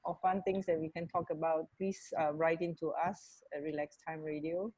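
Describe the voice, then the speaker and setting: A woman's voice: deep voice, fairly even intonation, normal speed, a little mellow, husky, rough recording. A middle-aged woman co-hosting a radio show in casual, giggly chat over a video call from home. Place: at home, indoor room. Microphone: wired earphones on a video call.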